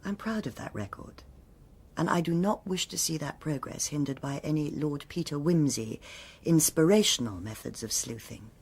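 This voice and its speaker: An actress playing a cool, exacting police superintendent in a radio drama, with a formal, cutting, authoritative manner. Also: female voice, deep voice, expressive, moderate pace, vocal fry, a little mellow, slightly rough, full character voice. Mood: stern.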